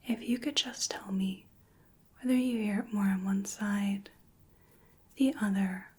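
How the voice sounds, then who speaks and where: A woman in her twenties speaking in a gentle, breathy whisper, carefully giving instructions in a soft-spoken ASMR medical roleplay. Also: medium pitch, fairly even intonation, unhurried. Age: 20s. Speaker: ASMR performer playing a hearing examiner. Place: small indoor room. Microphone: close microphone, just off camera.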